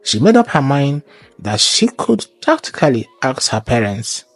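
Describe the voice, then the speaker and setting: A man narrating a scripted folktale in a measured, formal storytelling way. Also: mid-range voice, very animated, faint music behind.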